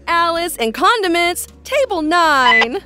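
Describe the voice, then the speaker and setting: A woman's voice: extremely high pitch, sing-song, slow, pushed and bright, faint music behind, exaggerated voice. A woman playing an unflappably courteous, by-the-book waitress in a comedy audio sketch. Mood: brisk, polite. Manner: polite, matter-of-fact customer-service delivery.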